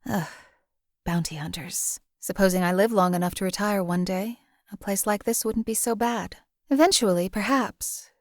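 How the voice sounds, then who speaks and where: A woman's voice: mid-range voice, normal speed, a little mellow, no room sound, natural voice. A woman voicing a cold, detached female assassin companion in a video game, talking in a dry, coolly contemptuous way. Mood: reflective.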